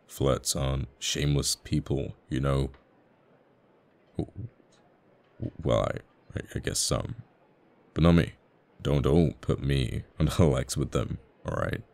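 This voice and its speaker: Man acting very deep, flustered flirty fighter pilot. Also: vocal fry, darkish tone, full character voice. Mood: bashful, defensive. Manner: halting, stammering, bashful. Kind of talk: romantic ASMR audio roleplay.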